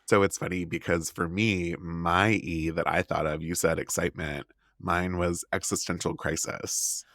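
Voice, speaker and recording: A man's voice: low voice, level tone, moderate pace, fairly bright tone, dry recording, studio quality. A man hosting a casual interview podcast, encouraging and reassuring as he prompts his guest. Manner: encouraging, reassuring, frequent brief acknowledgements. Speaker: podcast host.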